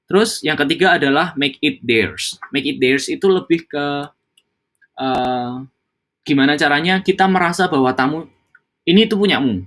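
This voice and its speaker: A man's voice: a little high, normal speed, fairly bright tone. A young man giving an informal training talk to hospitality coworkers, mixing English phrases into casual speech, with frequent fillers and restarts. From Java, Indonesia.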